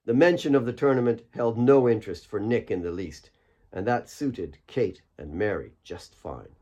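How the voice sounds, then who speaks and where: A man's voice: mid-range voice, darkish tone. A man reading his own fiction as a scripted audiobook narration.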